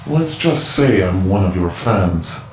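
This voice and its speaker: An actor playing a cryptic, mysterious secret informant in a video game, terse and deliberate. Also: male voice, low-pitched, lively intonation. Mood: cryptic, warning. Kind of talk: dramatic game voice acting. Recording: echoing voice, noise behind.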